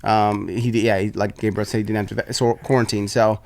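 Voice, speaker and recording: A man's voice: lowish voice, level tone, fairly quick, vocal fry, a little mellow, no room sound. A man co-hosting a podcast in a casual, rambling chat, often restarting his sentences. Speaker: podcast co-host.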